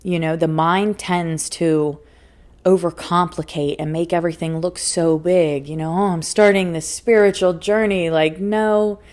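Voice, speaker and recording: Earnest woman podcaster, slightly low voice. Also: steady tone, measured pace, a little mellow. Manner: earnest, emphatic, laughs often; repeats phrases for emphasis. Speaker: podcast host and life coach. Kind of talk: solo motivational podcast talk.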